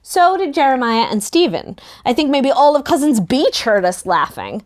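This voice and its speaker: A woman narrating a first-person story in an expressive, youthful storytelling manner. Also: high voice, normal speed. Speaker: narrator in a book table read.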